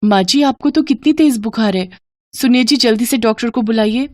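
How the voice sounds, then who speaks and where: A woman voicing a meek, gentle, caring young daughter-in-law in a cartoon moral story. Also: a little high, normal speed, no echo, clean studio sound, pitched above the performer's own voice, lightly acted. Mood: concerned.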